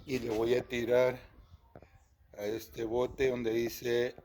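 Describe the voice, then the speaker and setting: A man in his fifties, born in Texas, talks through a slingshot demonstration outdoors. He speaks slowly, unhurried, with pauses and restarts, in a mellow, darker voice. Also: medium pitch, little pitch movement, a little hiss or hum. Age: 50s. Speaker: slingshot shooter. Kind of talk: casual demonstration to camera.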